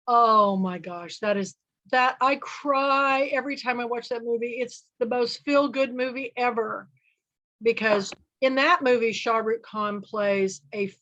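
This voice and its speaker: A woman hosting a film club chat, talking loudly and rambling, trailing off with fillers. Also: on the high side, muffled recording. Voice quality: loud voice. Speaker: book and film club host. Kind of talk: casual group chat.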